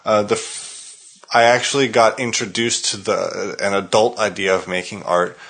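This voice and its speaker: A man with an American accent and a fairly low voice, chatting loosely as a podcast host. He hesitates often, filling gaps with "uh" and restarting his sentences. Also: steady tone, normal speed, creaky, a bit bright. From California, United States. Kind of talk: casual conversation.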